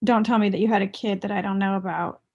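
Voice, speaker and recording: A woman playing a blunt, resentful young ex-girlfriend with a deadpan, pressing manner, in a comedy table read. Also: mid-range voice, fast, lo-fi sound, natural voice. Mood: guarded, dry.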